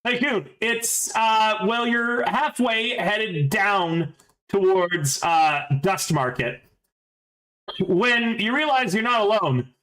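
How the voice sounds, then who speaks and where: A man narrating as game master in a casual, halting way with many fillers. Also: sits high, sharp tone, rough recording.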